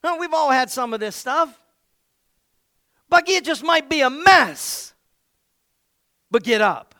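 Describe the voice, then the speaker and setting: A pastor preaching an energetic sermon. He jokes playfully, then shouts his exhortations. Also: very high-pitched, takes it slowly, no echo, studio quality.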